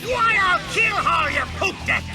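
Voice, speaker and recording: Blustering anime-dub pirate villain: gravelly, slow, very high-pitched delivery. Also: expressive, heavily acted. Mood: blustering threat. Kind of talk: dubbed anime cartoon acting. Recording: loud music under dialogue clip.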